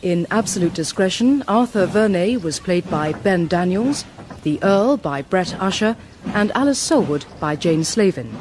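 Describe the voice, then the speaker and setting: Lively-pitched formal woman radio announcer. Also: a little slow, a little hiss or hum. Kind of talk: scripted cast and credits announcement.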